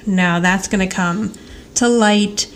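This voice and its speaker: A woman's voice: mid-range voice, slow pace. A woman co-hosting a podcast in a casual, chatty way. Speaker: podcast co-host. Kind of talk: casual chat. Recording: faint background noise.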